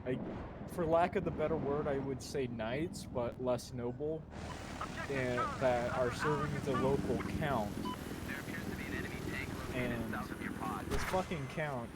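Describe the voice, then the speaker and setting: A man casually retelling a story, halting with lots of fillers, restarts and swearing while he plays a game. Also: mid-range voice, heavy background noise. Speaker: storyteller summarizing a manga. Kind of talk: casual rambling story recap. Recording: loud video game gunfire behind voice. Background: game gunfire and explosions.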